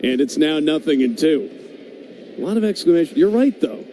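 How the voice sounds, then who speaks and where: A man's voice: fairly high pitch, normal speed, low-quality recording. A man working as a baseball play-by-play announcer on live radio, mixing calls with casual banter over stadium ambience. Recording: steady ballpark background noise.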